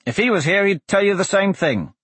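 A man's voice: sits high, lively intonation, fairly quick, fairly bright tone, slightly rough, no room sound, pitched above the performer's own voice, exaggerated voice. A man voicing a brash, defiant young male prisoner, cocky and blunt, in a fantasy audiobook. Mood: defensive. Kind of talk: fantasy audiobook character dialogue.

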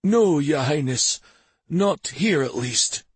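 A man's voice: on the high side, animated, takes it slowly, husky, dry recording, pitched above the performer's own voice, heavily acted. A man voicing a humble, troubled village mayor in a fantasy audiobook, pleading and deferential. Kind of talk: fantasy audiobook character dialogue.

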